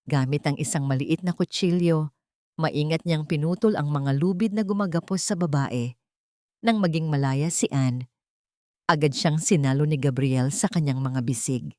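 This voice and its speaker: A woman narrating a scripted dramatic story in a measured storytelling manner. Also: deep voice, a little mellow, dry recording, studio quality.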